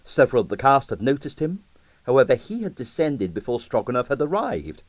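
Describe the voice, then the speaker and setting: A man's voice: mid-range voice, very animated, fairly quick, no room sound. A man reading a detective novel aloud as scripted, dramatised narration. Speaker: audiobook narrator.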